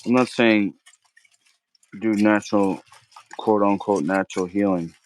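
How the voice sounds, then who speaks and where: A man's voice: on the low side, fairly even intonation, a little slow. A man hosting a live cooking stream, rambling casually in slang and arguing with his chat as he cooks. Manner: slangy, argumentative, keeps saying bro. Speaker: cooking livestream host.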